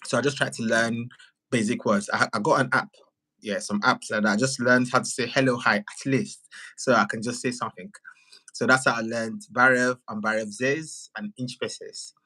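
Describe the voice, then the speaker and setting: Male video creator as live-chat guest. Mid-range voice, casual and animated, doubles up phrases for emphasis. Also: mid-range voice, normal speed, low-quality recording.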